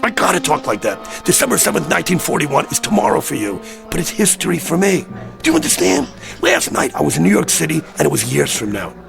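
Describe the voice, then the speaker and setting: Agitated American man, high and gravelly. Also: wildly swooping pitch, quick pace, over music, full character voice. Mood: urgent, insistent. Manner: insistent, pleading, streetwise talk. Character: agitated, desperate American man haunted by a recurring dream. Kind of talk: vintage radio drama acting.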